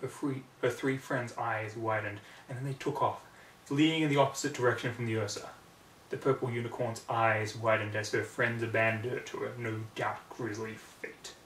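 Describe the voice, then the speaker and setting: A man narrates a story in a dramatic, expressive way with halting pauses. His voice sits at a middling pitch, a little away from the mic in an echoey room. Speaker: reader of fan fiction. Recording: roomy, distant mic, background noise.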